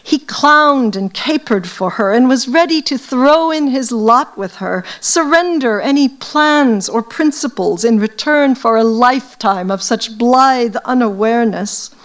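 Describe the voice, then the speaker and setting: A woman from the United States, an academic, reading aloud from a novel to an audience in a measured, deliberate way. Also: on the high side, lively intonation.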